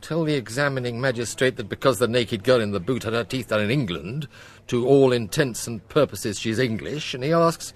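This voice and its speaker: An actor playing a brisk, sardonic police chief giving orders in a radio drama, with a dry, authoritative manner. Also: male voice, mid-range voice, animated, close to an everyday voice. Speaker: senior police officer.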